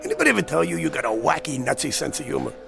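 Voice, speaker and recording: A man's voice: fairly high pitch, wildly swooping pitch, racing, creaky, heavily acted. A man playing a brash, argumentative hard drinker in a radio drama, with a pushy, sarcastic way of talking. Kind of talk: dramatized radio-play dialogue. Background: faint music.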